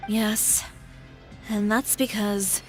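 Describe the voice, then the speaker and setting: Game role: proud young woman designer, composed, articulate, matter-of-fact, mid-pitched, music underneath. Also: fairly even intonation, drawn-out words. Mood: calm, assured. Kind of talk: video game voice acting.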